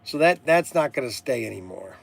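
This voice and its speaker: A man in his sixties hosting a casual, rambling livestream, halting and often repeating himself as he stumbles over words. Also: medium pitch, sing-song, gravelly. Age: 60s. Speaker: livestream host selling hobby toys and model cars.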